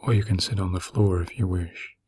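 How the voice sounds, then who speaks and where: A man narrating a guided meditation in a gentle, soothing, slow manner with long pauses and a breathy, husky voice. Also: low voice, steady tone, slight background noise.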